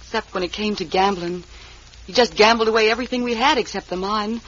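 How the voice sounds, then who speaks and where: A woman's voice: medium pitch, quick pace, fairly bright tone, natural voice. An actress playing a grieving but spirited young woman with an American accent in an old radio western. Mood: grieving, indignant. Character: grieving, spirited young daughter of slain miner. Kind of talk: 1950s radio western acting. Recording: dull, hissy old radio recording.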